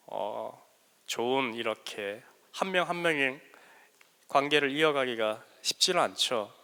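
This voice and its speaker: A man with a bright, forward voice and a regional Korean dialect showing through his learned Seoul speech, lecturing students with self-deprecating humor and hesitant pauses. He speaks into a handheld microphone. A long hall reverb trails each phrase. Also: mid-range voice, clear, resonant tone. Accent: Korean regional dialect under learned Seoul speech. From South Korea. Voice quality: joking, self-deprecating, with frequent hesitant fillers. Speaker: guest mentor lecturer. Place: large hall at a school workshop.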